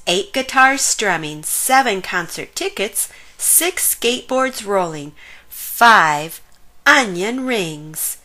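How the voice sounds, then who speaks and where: Animated, bright-voiced woman reading to children. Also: mid-range voice. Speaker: children's story reader. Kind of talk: animated read-aloud of a rhyming children's picture book.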